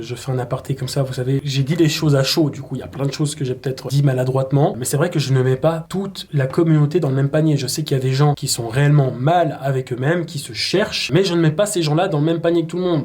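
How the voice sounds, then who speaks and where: A man's voice: medium pitch, darkish tone, husky. A young man, a YouTuber giving casual, opinionated commentary in an emphatic, colloquial, exasperated way. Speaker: YouTuber and streamer.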